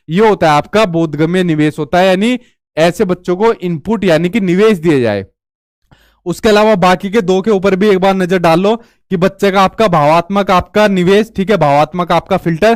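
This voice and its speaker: A man's voice: high voice, no room sound, studio quality. A man teaching an informal exam-prep lesson, restating each point in both Hindi and English terms and checking in with listeners as he goes. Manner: addresses viewers as brother, checks with "theek hai". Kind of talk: informal exam coaching lecture.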